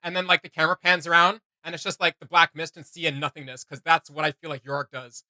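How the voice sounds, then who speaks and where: A man's voice: fairly high pitch, level tone, quick pace, sharp tone, no room sound. A man hosting a reaction video with casual, off-the-cuff commentary, blunt and sarcastic as he rambles.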